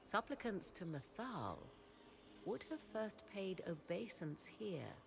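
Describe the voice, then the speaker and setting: A woman playing a proud, learned witch in a fantasy game, with formal, archaic and measured speech. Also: mid-range voice, very animated, vocal fry, slight background noise, exaggerated voice. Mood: grave, intrigued. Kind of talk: dramatic fantasy game acting. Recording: music behind the voice.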